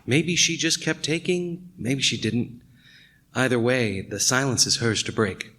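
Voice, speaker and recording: A man playing a brooding, philosophical detective in a noir radio mystery, speaking in a deliberate, musing way. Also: mid-range voice, fairly bright tone, slight background noise, close to an everyday voice. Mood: brooding, measured.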